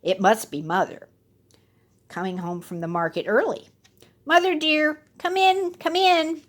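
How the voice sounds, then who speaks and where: A woman's voice: sits high, steady tone, a little slow, voice pushed up. A woman voicing young storybook goat kids in a childlike, earnest and emphatic way. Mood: trusting.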